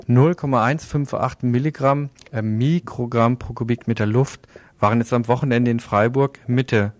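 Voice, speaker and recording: A man's voice: medium pitch, dry recording. A man presenting a scripted radio news commentary in a sardonic manner, putting rhetorical questions to listeners.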